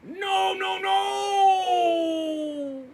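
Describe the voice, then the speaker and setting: Storybook spirit of darkness, played by a male reader: creaky and cold, high-pitched, loudly calling from far away. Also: very slow, background noise, exaggerated voice. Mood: eerie, calling.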